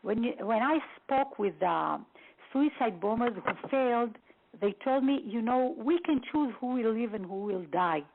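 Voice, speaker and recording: Female expert guest, Israel-born, stop-start delivery, fry in the voice, phone audio. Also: fairly high pitch, animated, a little hiss or hum. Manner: halting, with many fillers and restarts. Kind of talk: lecture-style briefing. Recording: tinny phone line.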